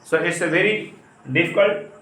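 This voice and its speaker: A man from India with an Indian accent and Hindi as his first language, giving an explanatory English lesson as a teacher, with halting, repetitive phrasing. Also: sits high, fairly bright tone. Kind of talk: spoken lesson, explanatory talk. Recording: echoey, roomy sound.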